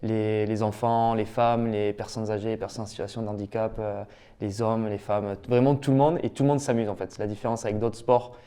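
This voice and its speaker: Chatty man, warm smooth voice. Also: on the low side, little pitch movement, a little fast. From Toulouse, France. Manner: enthusiastic, talkative, frequent "euh" fillers. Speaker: professional padel player, guest. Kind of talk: casual interview answers.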